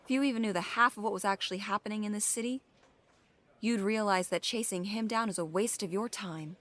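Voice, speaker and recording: A woman's voice: medium pitch, fairly quick, fairly bright tone, lightly acted. A woman acting as a defiant, hot-tempered police captain in an audio drama, intense and emotional. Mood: bitter.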